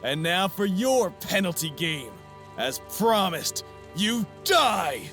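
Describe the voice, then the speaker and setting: A man's voice: high voice, big pitch swings, takes it slowly, a bit bright, exaggerated voice. A man playing a cocky, violent gang leader in an audio manga drama, sneering and menacing, with music behind. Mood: smug menacing.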